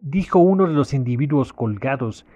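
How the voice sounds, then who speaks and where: A man's voice: medium pitch, animated, normal speed, mellow, muffled recording. A man reading scripted narration for a sci-fi noir audio drama. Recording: clear background noise, ambient sound effects.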